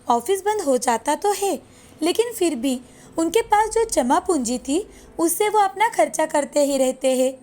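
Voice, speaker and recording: A woman's voice: high voice, darkish tone. A woman reading a scripted moral story as its narrator, in storybook style.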